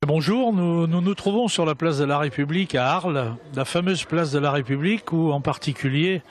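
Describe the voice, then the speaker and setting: A man's voice: on the high side, normal speed. A man reporting on location from a town square, giving a formal introduction. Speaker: field reporter.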